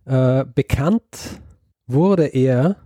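Man, casual storyteller, very dark mellow voice, halting delivery, dry close sound. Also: medium pitch, no room sound. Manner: hesitant, many ums and restarts. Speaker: podcast host telling a story.

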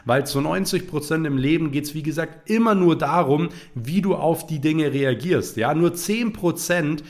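A young man hosting a motivational podcast, talking earnestly while he has a cold, peppering his points with quick fillers. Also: a little high, a little mellow. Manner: earnest, repetitive, frequent "ja" fillers. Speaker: podcast host.

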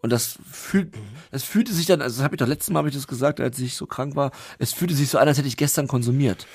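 A male podcast host in easy conversation who tells stories with lively energy. His voice sits at an ordinary pitch for a man. The recording is dry and close-miked. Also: fast, slightly rough, dry recording. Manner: chatty, telling anecdotes in a lively way. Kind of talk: casual chat.

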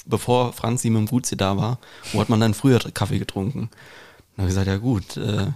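A man's voice: on the low side, steady tone, a little fast, warm tone. A man chatting casually as a podcast co-host, explaining things in an anecdotal way. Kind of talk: casual chat.

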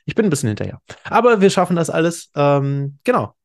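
A man's voice: a little high, big pitch swings, fast. A man hosting a podcast in casual chat, talking enthusiastically in colloquial, youthful slang. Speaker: podcast host.

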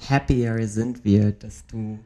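A man co-hosting a travel podcast, chatting in a relaxed way and dropping in English words. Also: fairly low pitch, slow. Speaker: podcast co-host. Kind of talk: casual chat.

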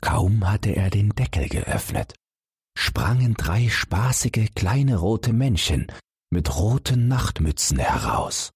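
A man reading a fairy tale as a calm bedtime story, gently addressing young listeners. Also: fairly low pitch, slow pace, slightly rough, dry recording, studio quality. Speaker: audiobook narrator.

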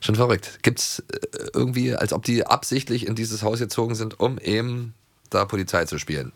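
A man's voice: fairly low pitch, normal speed, no echo. A man co-hosting an audio drama magazine in casual, conversational chat. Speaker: radio play magazine co-host.

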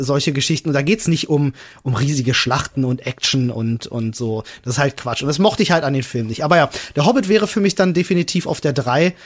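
A man with a slightly high-pitched voice chats as a podcast co-host. His delivery is informal but earnest, stressing his points as he explains them. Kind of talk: casual podcast chat.

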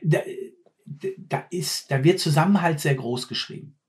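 A man talks bluntly in a relaxed chat. His voice sits a touch high for a man, and he keeps circling back on his own words as he hunts for the next thought. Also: moderate pace. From Ruhr area, Germany. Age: 50s. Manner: blunt, repeats words while searching. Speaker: former mine fitter, guest. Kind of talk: casual conversation.

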